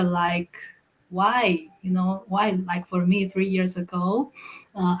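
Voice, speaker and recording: Woman, from taiwan, host, upbeat, halting, lots of fillers. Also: medium pitch, rough recording. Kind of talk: casual live chat.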